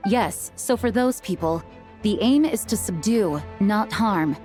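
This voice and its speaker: An actress playing an authoritative, dutiful knight commander in a video game, with crisp, formal delivery. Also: female voice, mid-range voice, expressive, a little slow, darkish tone, soft background music, lightly acted. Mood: firm briefing.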